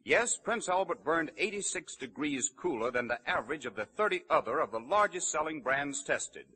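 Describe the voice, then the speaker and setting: A man announces in 1930s radio style with a highish, animated delivery. His voice is very rough and husky, pitching scripted sponsor copy on a vintage broadcast. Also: normal speed, rough recording.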